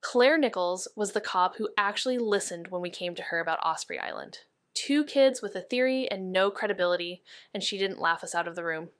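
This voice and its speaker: Young woman, amateur sleuth voiced by an actress, medium pitch, level-headed, dryly sardonic, casual mystery drama dialogue. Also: expressive, brisk, clean tone, close to an everyday voice. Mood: reflective. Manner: matter-of-fact, casual, sardonic. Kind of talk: scripted audio drama dialogue.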